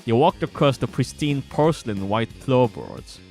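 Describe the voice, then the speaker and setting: A man's voice: medium pitch, lively intonation, normal speed, gravelly, darkish tone. A man streaming, reading narration from their own visual novel aloud with casual asides. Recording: music bed behind voice. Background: guitar music.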